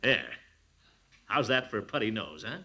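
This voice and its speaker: An actor playing a pompous, blustering middle-aged amateur sleuth in a 1940s radio sitcom, chuckling and self-important. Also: male voice, lowish voice, sing-song, moderate pace, gravelly, pushed and bright, breathy edge, heavily acted. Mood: scheming.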